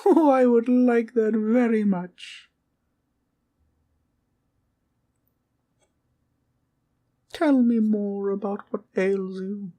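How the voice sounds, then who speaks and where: A woman's voice: medium pitch, steady tone, slow, dark tone, close to an everyday voice. A woman voicing a small, kindly teddy bear guardian in a comfort audio roleplay, sweet and polite with courtly, old-fashioned wording and a soothing bedtime manner. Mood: tender, earnest.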